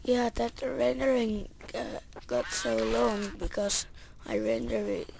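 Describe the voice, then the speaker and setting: A woman with a Finnish accent, her voice hoarse from yelling, giving halting, casual commentary while playing a shooter game. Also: on the high side, very animated, a little hiss or hum. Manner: halting, searching for words, many fillers. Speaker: gaming channel player. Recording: home mic with game gunfire behind.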